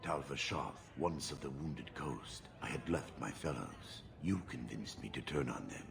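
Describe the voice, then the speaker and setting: An actor playing a stoic giant horned mercenary in a video game, gravelly, terse, measured and deadpan. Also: male voice, background noise, full character voice. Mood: stoic, detached. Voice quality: very deep, gravelly. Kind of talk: video game character acting.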